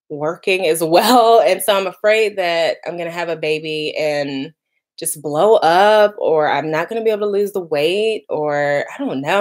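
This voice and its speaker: A woman, chatting casually on a live stream and reading out viewer comments. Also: fairly low pitch. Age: 30s. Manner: chatty, lots of "like" and "y'all", laughs easily. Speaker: vlogger doing a live stream.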